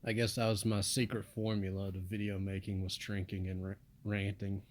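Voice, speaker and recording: A young man from the United States rambling in a flat, monotone voice with mumbled asides. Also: lowish voice, moderate pace, a little hiss or hum. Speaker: vlogger. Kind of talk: casual rambling monologue.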